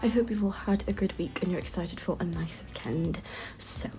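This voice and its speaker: A woman's voice: on the low side, normal speed. A woman chatting casually in a voiceover over her game build, slightly nasal from hay fever, with lots of ums and little laughs. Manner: chatty, rambling, frequent ums and soft laughs. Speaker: Sims builder and content creator. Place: home room with street traffic outside. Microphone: home voiceover mic.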